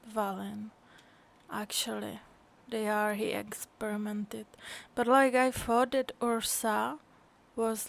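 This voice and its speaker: Casting: female game streamer, casual and halting, even-toned, unhurried, with noticeable vocal fry. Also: a little high. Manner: halting, thinking aloud. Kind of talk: casual stream commentary and dialogue reading.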